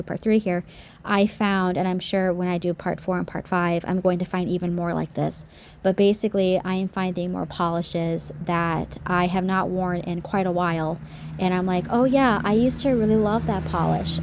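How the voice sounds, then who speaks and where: A woman's voice: fairly low pitch, darkish tone. A woman recording a casual nail-art video voiceover. Her voice is slightly scratchy from a sore throat, and she talks in a chatty, rambling way with frequent restarts.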